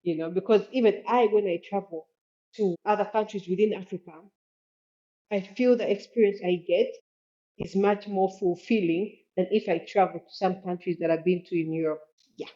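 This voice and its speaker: A woman's voice: mid-range voice, steady tone, normal speed, dark tone. Ugandan woman, slightly dull lo-fi audio. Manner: earnest, explanatory, often adds "you know". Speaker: podcast guest, Africa travel expert. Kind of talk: conversational interview answers.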